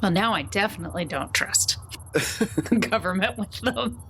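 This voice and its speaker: A woman's voice: medium pitch, expressive, moderate pace, fairly bright tone. Woman playing an rpg, mid voice, chatty and jokey, making it up as she goes, bit of noise. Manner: loose, jokey, prone to chuckling. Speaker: tabletop roleplaying player. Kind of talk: casual in-character improvised chat. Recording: faint background noise.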